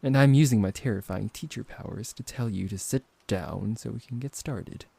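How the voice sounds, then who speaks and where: A man acting out a smooth-talking, possessive vampire professor in an intimate ASMR roleplay, politely condescending and teasing, close to the microphone. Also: fairly low pitch, normal speed, warm tone, dry recording. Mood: persuasive, polite. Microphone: close microphone, dry.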